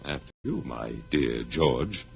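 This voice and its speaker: An actor playing a resigned, detached academic held captive, sardonic and given to lecturing, in a 1950s radio drama. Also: male voice, extremely low pitch, sing-song, slow pace, vocal fry, over loud music, heavily acted. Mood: flippant, sardonic. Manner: sardonic, lecturing, hesitant asides. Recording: dull, lo-fi old radio recording.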